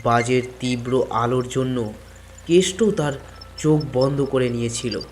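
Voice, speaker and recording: A man's voice: mid-range voice, steady tone, a little slow. A man reading a scripted village story as its narrator, in storytelling style. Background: background music.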